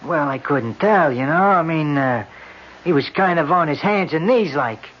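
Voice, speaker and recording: An actor playing a plain-spoken working-class street eyewitness in a radio drama, talking in a halting, rambling, colloquial way. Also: male voice, on the high side, expressive, slight background noise, lightly acted. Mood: flustered, earnest. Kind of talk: 1970s radio drama acting. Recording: dull old radio broadcast recording.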